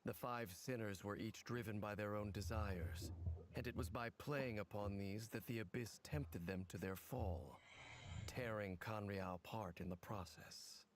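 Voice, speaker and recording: Man acting a brooding mysterious game guy, world-weary, low voice, solemn and cryptic. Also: a little slow, a little hiss or hum, lightly acted. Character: mysterious, grave, world-weary masked male wanderer. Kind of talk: dramatic video game dialogue.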